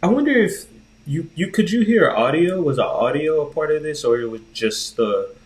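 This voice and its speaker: A man's voice: fairly high pitch, expressive, normal speed, a little mellow, low-quality recording. A man co-hosting a podcast, chatting casually in a laid-back, slangy way. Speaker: podcast co-host. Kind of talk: casual chat.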